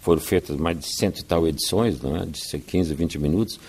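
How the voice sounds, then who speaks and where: An older man from Brazil, a writer and filmmaker, giving measured, storytelling answers in an interview and often tagging his sentences with "né". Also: very deep voice, darkish tone, breathy edge.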